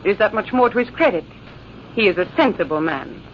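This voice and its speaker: A woman's voice: mid-range voice, expressive, brisk, lightly acted. A woman playing a proud young lady in an old radio drama, speaking in a formal, melodramatic, haughty manner. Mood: indignant. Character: proud, beautiful young woman, indignant at a jealous suitor. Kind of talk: 1940s radio drama acting. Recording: hissy, muffled old radio recording.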